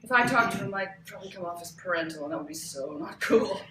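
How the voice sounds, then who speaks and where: A woman's voice: lowish voice, expressive, quick pace, lightly acted. Woman acting bossy older TV producer, echoey room. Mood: brisk. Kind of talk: live table read. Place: cramped bookstore, live reading without microphone. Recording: echoey live room, distant mic, faint noise.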